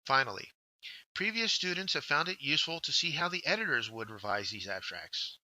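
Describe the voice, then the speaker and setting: Bright, crisp-toned male workshop instructor. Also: mid-range voice, lively intonation, fairly quick, low-quality recording. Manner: step-by-step, instructive, addresses learners directly. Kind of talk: scripted instructional narration.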